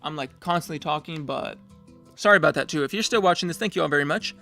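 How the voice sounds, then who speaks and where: A young man casually touring his game world, rambling and correcting himself, his voice getting hoarse. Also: fairly high pitch, fast talker, bright, forceful tone, soft background music. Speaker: gamer giving a world tour.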